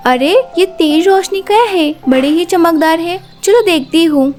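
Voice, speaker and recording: A woman voicing a curious, polite and innocent young girl in an animated moral story. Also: extremely high pitch, a little slow, soft background music, pitched above the performer's own voice. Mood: surprised, curious.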